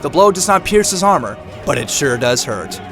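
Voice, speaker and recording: Higher-pitched male action narrator over music. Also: big pitch swings, normal speed, fairly bright tone. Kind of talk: scripted action-drama narration. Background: dramatic music.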